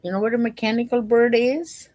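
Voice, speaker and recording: A woman's voice: fairly high pitch, steady tone, fairly quick, a little mellow, no room sound. A woman storyteller reading a children's storybook aloud and explaining it simply to young listeners.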